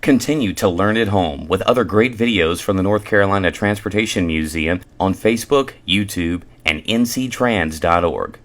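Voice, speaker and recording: Male announcer, low register, scripted promotional tag read. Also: moderate pace, hardly any pauses, fairly bright tone.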